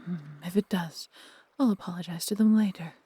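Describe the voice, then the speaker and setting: A woman voicing a huge, proud, protective female dragon in a fantasy audio roleplay, with breathy, husky delivery and tender, formal, theatrical phrasing. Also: fairly low pitch, moderate pace, background noise, close to an everyday voice. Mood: worried.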